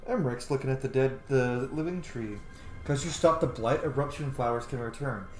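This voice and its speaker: A man's voice: mid-range voice, fairly quick, darkish tone. A man in his thirties chats casually through a game, swearing freely as he reacts. His voice rings in a roomy space, with game music behind him. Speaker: game streamer. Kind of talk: casual gameplay commentary. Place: indoor room. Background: video game music.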